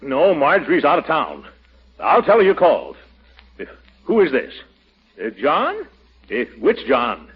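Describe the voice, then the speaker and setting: An actor playing a pompous, blustery middle-aged uncle who flusters easily, in 1940s radio comedy style. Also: male voice, fairly high pitch, wildly swooping pitch, slightly rough, exaggerated voice. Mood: flustered. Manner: blustery, drawn-out exclamations and hesitations. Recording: dull, hissy 1940s radio recording.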